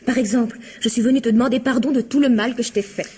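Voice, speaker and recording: A woman's voice: on the high side, steady tone, fast talker, darkish tone, breathy edge. A woman acting as a calculating young accomplice in a French radio mystery, with composed, pointed delivery. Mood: cool, calculating.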